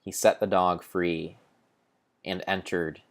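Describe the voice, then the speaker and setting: Deep-voiced man, grandiose dramatic narrator. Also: level tone. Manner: grandiose, theatrical, with pauses and self-corrections. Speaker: narrator of a video game playthrough. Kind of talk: mock-epic dramatic storytelling, improvised.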